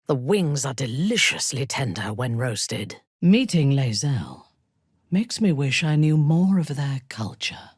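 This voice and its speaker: An actress playing a ruthless, haughty dark elf warrior in a video game, cold, commanding and menacing in her measured delivery. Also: sits low, breathy edge, no room sound, clean studio sound, full character voice. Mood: musing. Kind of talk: video game character voice acting.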